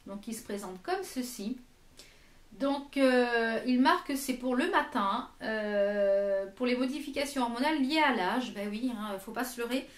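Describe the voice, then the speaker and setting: A woman in her late thirties giving a casual product review in a chatty, hesitant manner, pausing to read labels aloud. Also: fairly high pitch, lively intonation. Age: late 30s. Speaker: beauty vlogger presenting a product box. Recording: echoey room.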